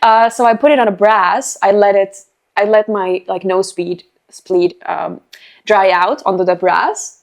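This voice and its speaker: A young woman, an artist guest talking in a casual interview, bubbly and quick to giggle. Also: medium pitch, steady tone, gravelly. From Finland. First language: Finnish.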